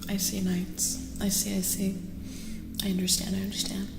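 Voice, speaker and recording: A woman speaking softly and gently in a casual ASMR livestream style, often slipping toward a whisper as she chats with viewers. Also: medium pitch, monotone, moderate pace, gravelly. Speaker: VTuber streamer doing tarot readings. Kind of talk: soft-spoken ASMR, casual live chat with viewers. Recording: echoey with long reverb, music behind. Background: music.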